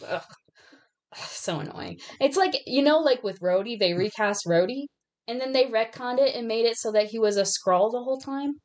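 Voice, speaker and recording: A woman's voice: fairly high pitch, moderate pace, darkish tone. A woman co-hosting a podcast in a casual, conversational and opinionated way as she discusses films. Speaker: podcast co-host. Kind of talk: casual film discussion chat.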